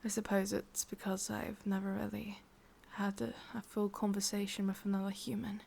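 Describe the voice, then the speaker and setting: A woman with an English accent voicing a sorrowful, obsessive witch in an intimate ASMR roleplay, speaking softly in halting, confiding whispers. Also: mid-range voice, little pitch movement, a little hiss or hum. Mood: wistful, confiding. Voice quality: soft, breathy, mostly whispered. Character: sorrowful, obsessive female witch, tender yet menacing.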